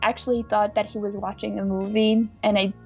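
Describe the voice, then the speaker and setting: A young woman from the United States telling her own story in a reflective, casual way, often pausing with "like". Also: mid-range voice, level tone, vocal fry, darkish tone, highs cut off, soft background music. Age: young adult. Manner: reflective, with frequent "like" and restarts. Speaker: interviewee.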